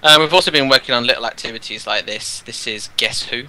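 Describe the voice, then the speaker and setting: A man, a teacher, casually explaining his classroom activities while touring a game world, relaxed and rambling with many fillers. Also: medium pitch, quick pace, a little hiss or hum, rough recording. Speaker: teacher, guest.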